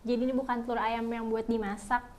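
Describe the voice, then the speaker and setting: A woman's voice: on the high side, flat delivery, quick pace, darkish tone, a little hiss or hum. A young woman hosts a casual product review, chatting informally and often addressing her viewers directly. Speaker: product review video host.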